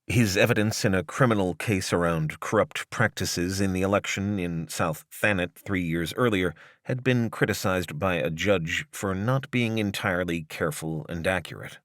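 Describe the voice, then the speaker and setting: A man reading a long-form news article as scripted narration, in a measured, articulate and formal way. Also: sits low, a bit bright, dry recording, studio quality.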